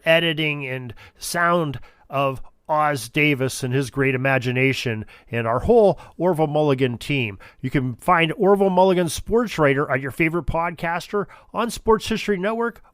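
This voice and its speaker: A man's voice: fairly high pitch, no room sound, clean studio sound. A man hosting a sports history podcast, talking informally in a rambling, folksy way. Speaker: podcast host.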